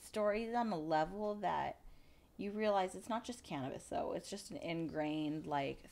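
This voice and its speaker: Low-pitched woman in her thirties, chatting casually. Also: moderate pace, creaky, darkish tone. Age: 30s. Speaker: podcast co-host, cannabis industry professional. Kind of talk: casual chat.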